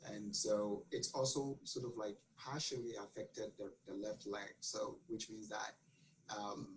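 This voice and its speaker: Low-voiced man, earnest. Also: level tone, unhurried, a little hiss or hum, rough recording. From Ghana. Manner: earnest, many fillers and restarts. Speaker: guest. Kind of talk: interview answers.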